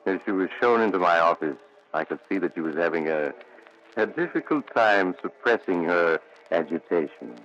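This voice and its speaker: An actor playing a measured, theatrical storyteller-doctor in 1940s radio thriller style. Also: male voice, low-pitched, animated, fairly bright tone, soft background music. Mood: grave, narrating. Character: ominous mysterious storyteller, also a calm reassuring doctor. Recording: muffled 1940s radio recording.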